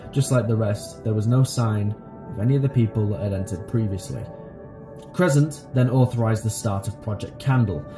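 Guy narrating a creepy case file, formal and ominous, medium pitch, roomy echo on it. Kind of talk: scripted horror narration, documentary-like case file. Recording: echoey voice-over under loud music. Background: loud music.

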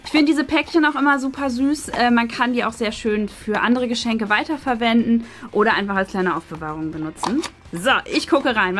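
A woman speaks in a fairly high voice. She presents things to camera in a casual, chatty unboxing style, with faint music behind her in a small room. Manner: chatty, presenting items while handling them. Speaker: host of a craft and unboxing channel. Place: small decorated room indoors. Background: background music.

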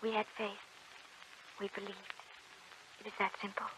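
An actress playing a devout, fearless young warrior maid in an old radio drama, speaking in an earnest, declamatory way. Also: female voice, medium pitch, flat delivery, normal speed, noisy background, close to an everyday voice. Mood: solemn. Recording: dull old radio recording.

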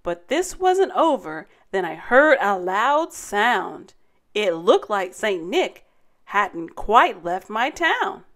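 Woman, story time host, children's read-aloud, dramatic delivery, very animated pitch, slow and deliberate. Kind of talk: expressive children's picture-book read-aloud.

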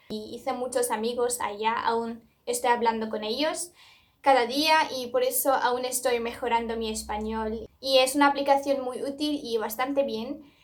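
A young woman from Turkey with a Turkish accent, a native Turkish speaker, talking casually to camera as a vlogger, chatty and earnest with frequent restarts. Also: fairly high pitch.

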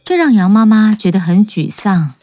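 A woman's voice: medium pitch, expressive, moderate pace, warm tone, soft background music. A woman storyteller giving scripted narration for a children's tale.

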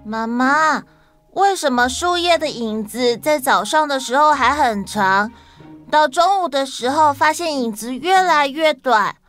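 A woman plays a tiny, headstrong ant child from a storybook, asking questions in a high voice. The little one is assertive and inquisitive. Soft music sits underneath. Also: expressive, a little slow. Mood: curious.

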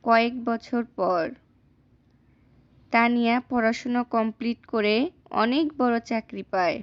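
A woman's voice: fairly high pitch, fairly even intonation, a little slow, muffled recording. A woman narrating a cartoon story.

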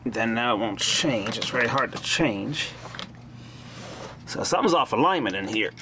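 A man's voice: medium pitch, expressive, moderate pace. A man giving casual, blunt, salty commentary as he does hands-on repair work. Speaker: hobbyist repairer showing a vintage machine. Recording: noisy amateur camera audio, mechanical hum.

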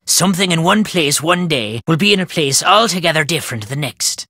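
A gruff, wry old Irish countryman for a video game, stubborn and superstitious. His voice swoops with a lively storytelling lilt and has a bright, forceful edge, recorded close and dry. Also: fairly high pitch, fairly quick, dry recording, heavily acted. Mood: ominous. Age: older. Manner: folksy inverted phrasing, storytelling lilt. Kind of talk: video game character dialogue.

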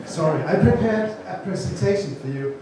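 A man's voice: sits high, animated, normal speed, mellow, recorded from a distance, slight background noise. Danish man speaking in an echoey hall. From Denmark. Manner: informal, self-correcting, with handheld mic. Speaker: gene bank speaker. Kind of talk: seminar talk to an audience. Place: large room with seated audience. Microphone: handheld mic, far camera. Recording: echoey room recorded from afar.

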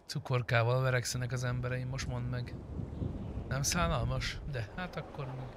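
A man's voice: mid-range voice, fairly even intonation. Man in casual gaming banter, very noisy. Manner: blunt, swearing. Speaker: gamer in a group roleplay session.

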